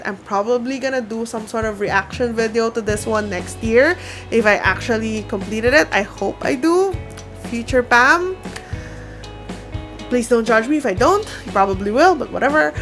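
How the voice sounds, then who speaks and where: A young woman from the Philippines, a Tagalog speaker talking casually to camera at home by her bookshelves, chatty and excitable. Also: a little high. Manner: chatty, excitable, self-mocking. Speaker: book vlogger.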